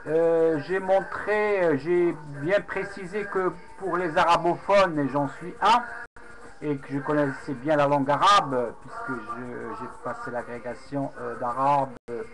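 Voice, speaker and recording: A man whose first language is Arabic recounts a religious debate into his webcam, pausing often with fillers and restarting his sentences. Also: a little high, fairly even intonation. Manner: hesitant, many fillers and restarts. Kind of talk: unscripted webcam monologue. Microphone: webcam microphone. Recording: noisy home webcam recording.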